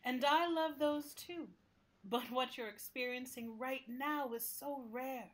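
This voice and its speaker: A woman playing a talking library building in a radio play, gentle but fussy, with a confiding, exasperated manner. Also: high voice, slow pace. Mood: serene, candid. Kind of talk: radio play acting in interview form.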